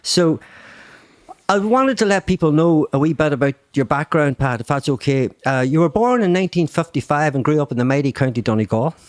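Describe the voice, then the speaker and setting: A man's voice: on the high side, expressive, fairly quick, no echo. A middle-aged man with a Northern Irish accent, hosting a casual chat, talking with lots of fillers and laughs. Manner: chatty, laughing, many fillers. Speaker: podcast host.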